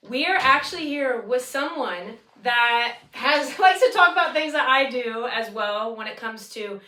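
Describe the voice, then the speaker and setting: A woman's voice: a little high, animated, measured pace, bright, forceful tone, slight background noise. Chatty woman podcast host, roomy sound. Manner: chatty, upbeat, laughs often. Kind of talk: casual podcast chat. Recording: roomy echo, mic at a distance.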